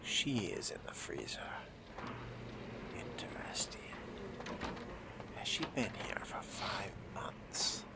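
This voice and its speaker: A man roleplaying a brooding, calculating vampire fledgling in a video game, musing to himself in measured, formal phrases. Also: on the low side, big pitch swings, in a whisper, full character voice. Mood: musing, suspicious. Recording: clear background game noise.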